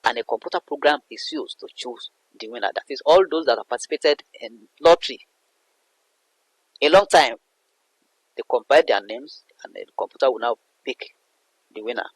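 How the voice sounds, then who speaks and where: A man's voice: medium pitch, normal speed, husky, dry recording, poor audio. A man explaining a poem as a literature teacher, in a didactic way that repeats and rephrases points. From Nigeria. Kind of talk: explanatory poetry analysis lesson.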